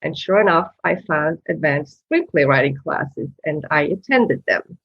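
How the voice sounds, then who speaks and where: Low-voiced woman on a dull remote line. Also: animated, vocal fry, breathy edge, dry recording. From Switzerland. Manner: earnest, storytelling, slightly halting. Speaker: guest, screenwriter. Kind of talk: interview answers.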